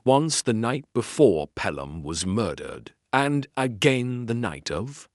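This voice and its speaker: A man voicing a sharp, cool-headed Victorian detective who speaks in measured deductions. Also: mid-range voice, very animated, no echo, clean studio sound.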